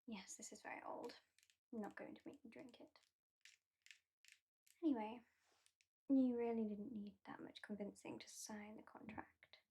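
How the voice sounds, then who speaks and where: A British woman voicing a haughty, mocking female vampire in an ASMR roleplay, soft-spoken and often whispering. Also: a little high, steady tone, moderate pace, creaky, pauses often, a little hiss or hum. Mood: languid. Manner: soft-spoken, drifting into whispers, dryly sardonic. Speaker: ASMR performer.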